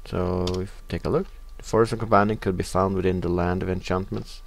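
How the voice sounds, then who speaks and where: Low-voiced Norwegian man narrating a game. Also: steady tone, fairly quick, slight background noise. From Norway. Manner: hesitant, many uh fillers, reading in-game text aloud. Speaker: let's play host. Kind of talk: casual game commentary.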